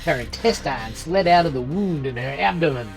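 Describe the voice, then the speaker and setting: A woman's voice: low-pitched, animated, normal speed, gravelly, very noisy. A woman reading a scripted horror story as its narrator.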